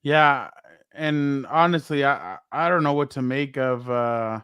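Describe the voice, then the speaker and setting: A man co-hosting a casual sports talk show, loud and rambling, often laughing and trailing off. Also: medium pitch, unhurried, clear, resonant tone, no echo. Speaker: co-host.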